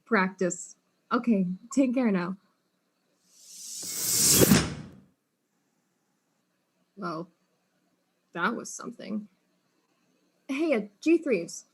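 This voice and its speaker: An actress playing a wry, weary detective in an audio drama, speaking casually. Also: female voice, medium pitch, expressive, slow pace, heavy background noise. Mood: reassuring, wry.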